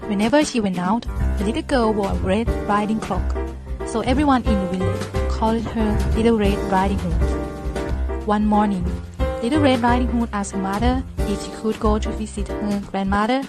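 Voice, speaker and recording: A woman's voice: medium pitch, moderate pace, over loud music. A woman narrating a scripted children's story as moderator.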